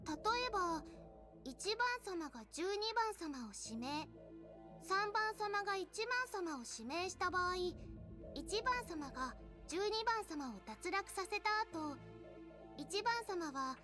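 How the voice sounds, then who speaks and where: Poised childlike goddess, extremely high pitch, refined haughty manner, visual novel game, prominent music. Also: female voice, lively intonation, normal speed. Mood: composed, formal. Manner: polite, haughty, refined ladylike speech. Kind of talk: Japanese anime-style visual novel acting. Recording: loud game music under the voice.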